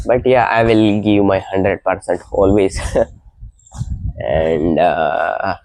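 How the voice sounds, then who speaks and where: Young guy with a cold, super low voice, slow and halting, lots of uhs, dry close sound. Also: fairly even intonation, darkish tone, no echo. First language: an Indian language. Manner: halting speech with many fillers and little laughs. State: has a cold, voice deeper than usual. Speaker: vlogger. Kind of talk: casual morning vlog, practicing English. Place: outdoor balcony with birdsong in the early morning. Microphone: mic with noise cancellation.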